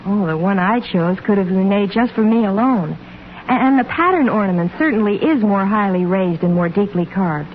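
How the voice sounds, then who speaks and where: A woman's voice: medium pitch, lively intonation, normal speed, natural voice. A woman playing a delighted housewife with an American accent in a 1940s radio commercial. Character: delighted housewife customer praising her silverware. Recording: tinny, band-limited old radio, faint noise.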